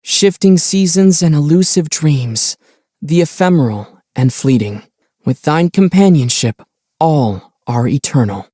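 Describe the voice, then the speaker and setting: Young male adventurer, relaxed soft-edged tone, close dry recording, calm, measured, gently teasing, video game character. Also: on the high side, dry recording. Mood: reflective. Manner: easygoing, measured, gently wry.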